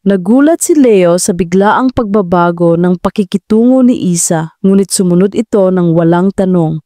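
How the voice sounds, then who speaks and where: A woman narrating a scripted audio drama in a measured, dramatic way. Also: lowish voice, mellow, no room sound, clean studio sound. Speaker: storyteller.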